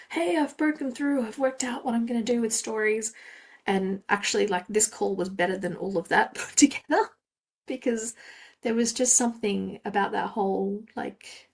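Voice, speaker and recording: A woman's voice: medium pitch, darkish tone. A woman from Australia hosting a podcast, talking through her thoughts alone, musing and often trailing off with fillers. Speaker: podcast host. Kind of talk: solo reflective podcast talk.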